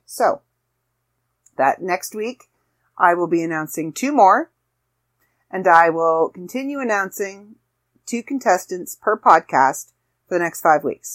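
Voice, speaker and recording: A woman hosting a casual solo podcast, chatty and rambling with self-deprecating asides, her voice slightly raspy. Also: lowish voice. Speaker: podcast host.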